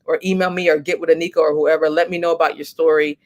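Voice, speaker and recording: A woman's voice: sits low, little pitch movement, fast talker, a little mellow. Chatty encouraging woman host. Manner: chatty, encouraging, thinks aloud. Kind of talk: casual livestream wrap-up.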